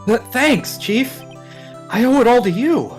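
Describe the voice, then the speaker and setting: A woman's voice: fairly high pitch, lively intonation, measured pace, faint music behind. A woman voicing a nervous, earnest young male rookie defense lawyer in a video game. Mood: nervous, grateful. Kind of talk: video game dub with inner narration.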